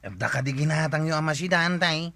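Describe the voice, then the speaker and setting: An actor with an Ilocano accent playing a plain-spoken, put-upon driver in a radio comedy drama. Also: male voice, on the high side, brisk, sharp tone, no room sound. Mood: everyday, matter-of-fact.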